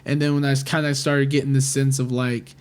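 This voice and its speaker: This is a talkative young man speaking into a podcast mic. His voice sits in an ordinary middle pitch. He rambles loosely, circling back with filler words as he tells his story. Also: flat delivery, a little fast, smooth. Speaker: record label manager, guest. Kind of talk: casual podcast conversation.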